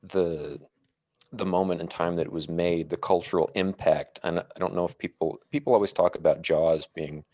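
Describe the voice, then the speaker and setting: A man on a podcast panel casually discussing films in a measured, thoughtful, somewhat halting way. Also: low-pitched, steady tone, mellow, no echo, clean studio sound.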